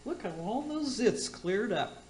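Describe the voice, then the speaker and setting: A tipsy, bawdy auntie hosts a comedy radio show in a roomy, echoing space. Her highish voice swoops up and down. She gushes and rambles, tripping over her words with brash confidence. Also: lively intonation, a little slow, darkish tone, slight background noise. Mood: brash, teasing. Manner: rambling, gushing, boozy word slips. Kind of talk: community theater radio comedy acting.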